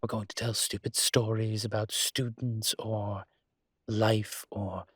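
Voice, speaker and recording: A man playing a suave ancient demon professor in an intimate roleplay audio drama, with a husky, breathy low voice and a dry, teasing, urbane manner. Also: fairly bright tone, dry recording, exaggerated voice.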